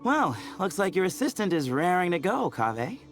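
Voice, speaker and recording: A man voicing a genial, brisk young expedition leader in a video game, organised and wry. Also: high-pitched, big pitch swings, faint music behind. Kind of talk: video game character dialogue.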